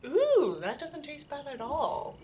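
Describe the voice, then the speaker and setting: A woman's voice: high voice, wildly swooping pitch, slow pace, mellow, slight background noise. A woman reading a book aloud as an online creator, with an irreverent, exasperated manner and casual, sweary asides.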